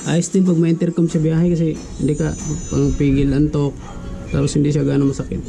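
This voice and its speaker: A man's voice: a little high, completely flat delivery, a little fast, vocal fry, over music. A man talking casually as a vlogger walking viewers through a do-it-yourself tutorial. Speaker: vlogger giving a DIY tutorial.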